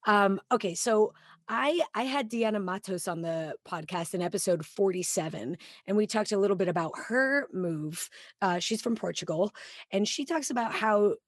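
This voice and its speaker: A woman's voice: medium pitch, normal speed, no echo. A woman hosting a podcast, speaking into a studio mic with headphones on, in effusive, chatty casual talk. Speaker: podcast host. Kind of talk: casual interview chat.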